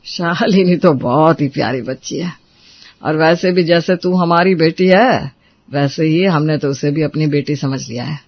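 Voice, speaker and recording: Man playing high-voiced, caring Indian father. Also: a little slow, lo-fi sound. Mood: affectionate. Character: caring middle-aged father, reassuring, affectionate family elder. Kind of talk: Hindi family drama acting.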